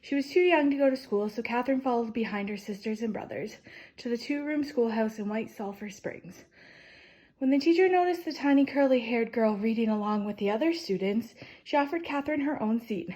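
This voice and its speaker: A woman reading a children's picture-book biography aloud as a storyteller, with deliberate phrasing, emphatic sentence starts and softly falling endings. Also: a little high, poor audio.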